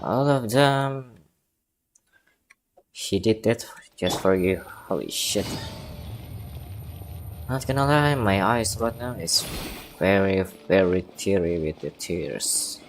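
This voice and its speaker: A man giving casual live reaction commentary as a game streamer, halting and sweary. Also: fairly low pitch, slight background noise.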